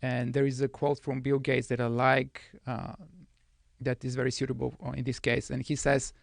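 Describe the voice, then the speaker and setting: Man, from Bulgaria, thirties, gravelly, measured, podcast guest, dry studio. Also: mid-range voice, level tone, dry recording. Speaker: guest trader. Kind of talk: podcast interview.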